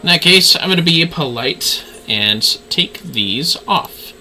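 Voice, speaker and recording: A man's voice: fairly high pitch, expressive, slow pace, pushed and bright, faint music behind, low-quality recording. A man livestreaming a game with casual, offhand commentary. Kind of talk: casual game commentary, reading dialogue aloud.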